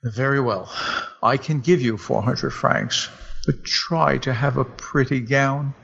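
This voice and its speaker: A man reading a storybook, voicing an eager, anxious young husband who is a clerk, with a husky, gravelly voice. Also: mid-range voice, slow pace, fairly bright tone, lo-fi sound, exaggerated voice. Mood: coaxing.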